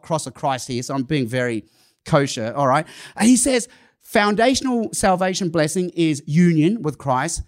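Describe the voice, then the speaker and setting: A middle-aged man whose first language is Cantonese, preaching and acting out conversations with rising emphasis. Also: high-pitched. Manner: animated, acts out dialogue with rising emphasis. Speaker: pastor. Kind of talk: sermon storytelling.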